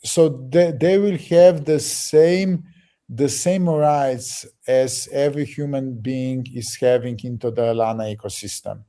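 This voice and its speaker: A man leading a project, explaining it to a group haltingly, with restarts and fillers. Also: medium pitch, warm tone. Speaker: project leader answering questions.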